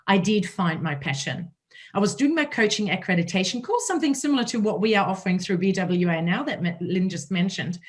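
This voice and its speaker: A woman with a German accent and a raspy voice, hosting a panel talk and telling her own story in a conversational way. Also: mid-range voice. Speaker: host.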